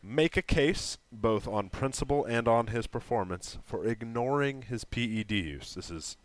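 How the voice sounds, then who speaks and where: A man's voice: medium pitch, expressive, normal speed, dry recording. A man co-hosting a podcast, trading dry, wry quips and reading out listener questions. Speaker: podcast co-host.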